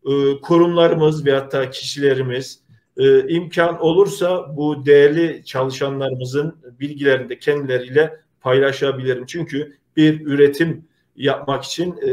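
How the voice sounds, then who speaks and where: A middle-aged man, hosting an online community show in an earnest, halting, polite way. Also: medium pitch. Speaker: host of a community video show. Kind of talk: informal presenting to an online audience.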